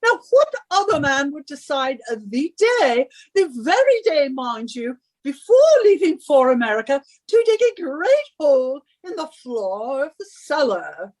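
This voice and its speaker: A woman's voice: sits high, very animated, measured pace, hardly any pauses. A woman playing an imperious, henpecking wife in a radio suspense drama, nagging and scolding with theatrical emphasis. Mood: smugly insistent. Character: bossy henpecking British wife, shrill and imperious.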